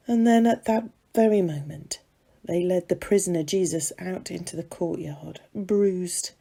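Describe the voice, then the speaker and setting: A woman reading a dramatic monologue as a gossipy serving maid of ancient times, confiding and conversational, with dramatic pauses. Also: medium pitch, warm tone, slightly rough. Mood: sombre, intent.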